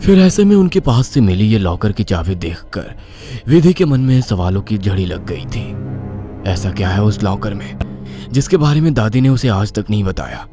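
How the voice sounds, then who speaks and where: Deep-ish guy telling a spooky story, really animated delivery, music clearly behind. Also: normal speed. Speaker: story narrator. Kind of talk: dramatic scripted horror narration. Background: prominent music.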